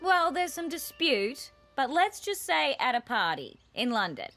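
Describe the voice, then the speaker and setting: A woman's voice: sits high, big pitch swings, a little slow, music in the background, exaggerated voice. A woman with an Australian accent playing a sceptical, teasing cartoon dog mum with a dry manner. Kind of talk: animated series voice acting.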